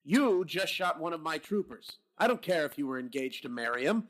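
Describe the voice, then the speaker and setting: A man's voice: fairly high pitch, animated, a little fast, a bit bright. A man acting a blunt, skeptical police captain in a radio horror drama, talking in a brusque, demanding way. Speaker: voice actor.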